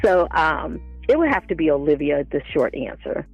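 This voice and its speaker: A woman's voice: sits low, sing-song, moderate pace, breathy edge, muffled recording, faint music behind. A woman speaking as a guest author, giving earnest, explanatory answers in a conversational interview. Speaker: guest, children's book author.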